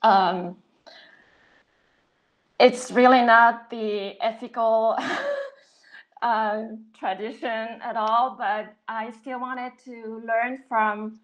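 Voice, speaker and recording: A woman talks slowly and hesitantly, stopping often to search for words. Her voice comes through dull, lo-fi video-call audio. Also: fairly high pitch, animated. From France. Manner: hesitant, many fillers. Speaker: guest artist. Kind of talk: self-introduction on video call.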